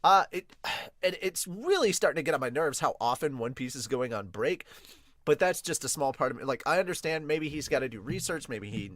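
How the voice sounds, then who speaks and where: A man's voice: a little high, lively intonation, brisk, fairly bright tone, no room sound. Enthusiastic man reviewing manga, dry sound. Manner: enthusiastic, gushing, mild swearing. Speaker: host of an anime and manga review podcast. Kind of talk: casual fan reaction and review.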